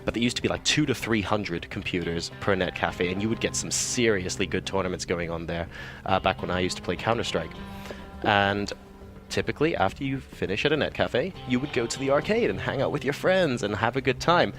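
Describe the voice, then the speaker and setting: A man from Hong Kong, an esports caster, talking quickly and enthusiastically in an interview at a busy event. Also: lowish voice, animated, music in the background. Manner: quick, chatty and enthusiastic, with frequent fillers. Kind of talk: casual interview answers.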